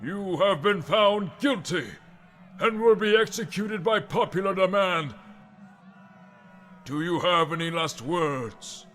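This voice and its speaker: A man voicing a stern male official who formally reads out a death sentence, proclaiming loudly over a crowd. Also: high voice, slow pace, a little hiss or hum, pitched above the performer's own voice.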